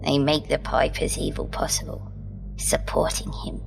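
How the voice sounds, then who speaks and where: A woman acting a composed, determined, centuries-old guide in an audio drama, deliberate and at times whispery. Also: a bass voice, very animated, gravelly, full character voice. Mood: grave. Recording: long cavernous reverb, music bed.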